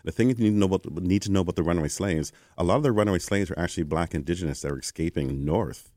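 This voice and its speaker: A Canadian man, a guest in an interview, telling stories in a conversational way and acting out quoted exchanges with lively energy. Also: sits low, very fast, no room sound, studio quality. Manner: animated, acts out quoted exchanges.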